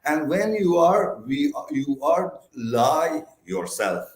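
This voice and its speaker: A man from Iran with an Iranian accent, a native Persian speaker giving interview answers in halting, broken English. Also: on the high side, very animated. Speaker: interview guest. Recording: roomy echo.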